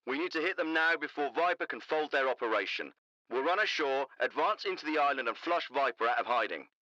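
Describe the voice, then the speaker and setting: Radio-filtered special forces captain, blunt n urgent, sharp forceful voice, game cutscene. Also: male voice, mid-range voice, animated, brisk, dry recording, highs cut off. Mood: blunt, factual. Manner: blunt, urgent, matter-of-fact. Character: intense, determined special forces captain in the field. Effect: military radio filter. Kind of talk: video game cutscene dialogue, radio report. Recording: band-limited military radio transmission.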